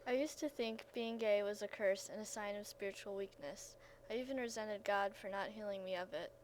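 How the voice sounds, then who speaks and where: A woman's voice: mid-range voice, brisk, poor audio. A woman reading a first-person testimony aloud. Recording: faint background noise and ambience.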